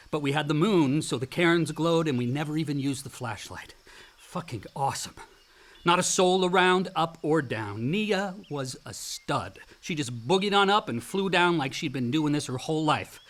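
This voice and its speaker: An actor playing an outdoorsy, slightly self-doubting grown son with a casual, effusive manner in a naturalistic radio drama. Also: male voice, a little high, a bit bright. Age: young adult.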